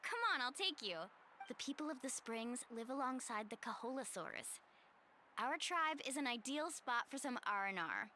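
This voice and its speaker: A bright, high-voiced young warrior woman chats casually in a video game. She is confident, friendly and encouraging, with lively pitch movement and faint noise behind her. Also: normal speed. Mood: reassuring. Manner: upbeat, easygoing, encouraging.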